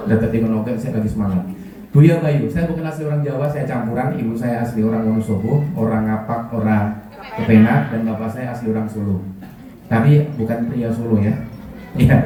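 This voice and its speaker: Warm-voiced Javanese man in an echoey hall. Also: mid-range voice, far from the mic, slight background noise. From Kulon Progo, Yogyakarta, Indonesia. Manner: joking, quizzes the audience with questions. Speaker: parenting speaker. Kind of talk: religious parenting talk to parents. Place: school hall.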